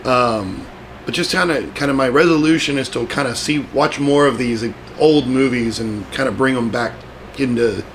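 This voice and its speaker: A man's voice: mid-range voice, normal speed, slight background noise, poor audio. A man hosting a podcast, chatting casually about horror movies with a friend, rambling with frequent restarts. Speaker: podcast host.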